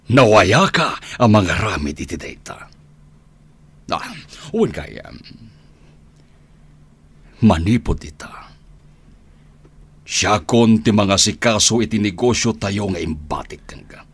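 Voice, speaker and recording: A man playing an older, ruthless crime boss father in a radio drama, with an Ilocano accent and a husky, deliberate delivery. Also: on the low side, very animated, full character voice. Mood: stern. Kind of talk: Filipino radio drama acting.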